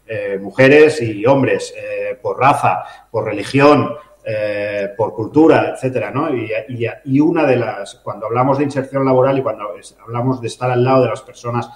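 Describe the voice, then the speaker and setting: A man from talks through interview answers as a mayor. He is measured and reflective, full of small pauses and fillers, and his voice rings a little in an echoey room. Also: medium pitch, a crowd in the background. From Catalonia, Spain. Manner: measured, thoughtful, many filler "eh" and tag "¿no?".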